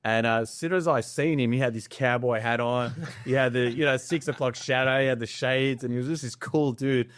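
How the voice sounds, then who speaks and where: A man's voice: medium pitch, steady tone, fairly quick, hardly any pauses, dry recording, studio quality. A man in his early forties from Nepal who moved to Australia, telling his own story casually as a podcast guest. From Nepal, later Australia.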